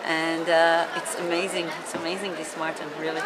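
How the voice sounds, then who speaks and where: Israeli woman in her forties, halting. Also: deep voice, little pitch movement. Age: 40s. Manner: halting, many fillers, slow and heartfelt. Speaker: marathon skater. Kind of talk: interview to camera. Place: busy indoor expo hall. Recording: loud crowd and noise behind.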